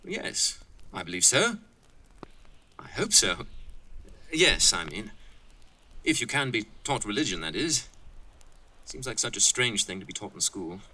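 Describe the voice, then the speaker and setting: A man's voice: on the low side, big pitch swings, measured pace, long pauses, bright, forceful tone, heavily acted. A man playing an earnest, talkative young college student camper, chatty but hesitant and musing, in an audio drama. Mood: reflective.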